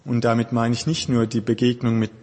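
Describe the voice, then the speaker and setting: A German man preaching a sermon in a thoughtful, conversational way, pausing often with hesitations. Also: mid-range voice, little pitch movement, warm tone, long reverb tail. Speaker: pastor.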